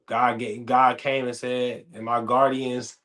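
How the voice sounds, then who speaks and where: A man in his thirties hosting a casual podcast chat, telling stories with lively acted-out quotes. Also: mid-range voice, slow pace. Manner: animated storytelling, acts out quoted dialogue. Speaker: podcast host.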